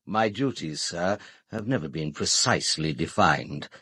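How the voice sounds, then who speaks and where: Deep, husky, formal British butler. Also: male voice, expressive, unhurried, bright, forceful tone, dry recording, studio quality, heavily acted. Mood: formal, guarded. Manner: stiff, deferential, precise formal speech. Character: formal, discreet, unflappable male butler of a castle. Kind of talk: full-cast radio drama.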